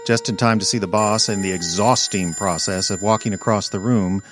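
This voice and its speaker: An actor playing a wry, put-upon detective's assistant, narrating in deadpan first person in old time radio style. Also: male voice, lowish voice, moderate pace, natural voice. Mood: wry, storytelling. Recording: loud music under the voice.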